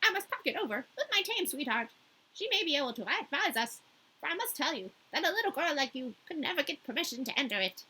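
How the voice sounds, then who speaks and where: A woman's voice: high voice, very animated, quick pace, pushed and bright, voice pushed up, full character voice, low-quality recording. A woman voicing a talkative, helpful male crow in a storybook, with words slightly hard to make out in the put-on voice. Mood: advising. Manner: wordy, hard-to-enunciate put-on voice. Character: verbose, helpful male talking crow, courting sweetheart.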